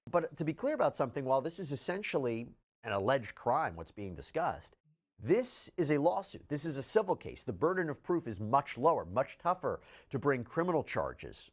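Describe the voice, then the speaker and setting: A man delivering emphatic, explanatory legal news analysis, like a commentator. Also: medium pitch.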